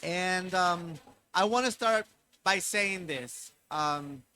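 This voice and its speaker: A man moderating a panel discussion, speaking haltingly and repeating words. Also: high voice, sharp tone, a little hiss or hum. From Ecuador.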